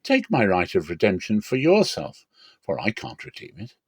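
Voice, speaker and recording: A man playing a cautious kinsman who speaks in a measured, matter-of-fact way in a dramatic scripture reading. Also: lowish voice, huge pitch swings, husky, dry recording, clean studio sound, full character voice. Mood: reluctant, measured. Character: cautious male kinsman declining a duty.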